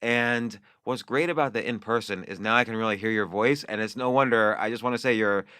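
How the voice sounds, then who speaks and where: A man's voice: fairly low pitch, fairly quick, sharp tone, smooth, dry recording. A man hosting a podcast in person in a studio, with a voice he calls whiny, talking casually with lots of fillers. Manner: chatty, filler-heavy. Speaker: podcast host. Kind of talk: casual interview.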